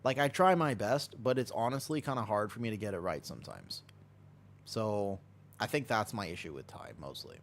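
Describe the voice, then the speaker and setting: A man's voice: on the low side, lively intonation, moderate pace, gravelly, a little hiss or hum. An American man streaming a game, chatting casually and rambling as he answers chat and laughs easily. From United States.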